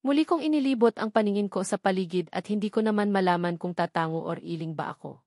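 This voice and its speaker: A woman's voice: medium pitch, quick pace, a little mellow, no echo, studio quality. A woman with a Filipino accent reading a first-person romance novel as a scripted audiobook narration.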